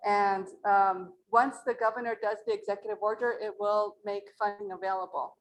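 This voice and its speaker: A woman from Mora, New Mexico, with a low voice, speaking earnestly and plainly as an acequia representative at a community meeting in a library meeting room. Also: steady tone, normal speed, slight background noise, low-quality recording.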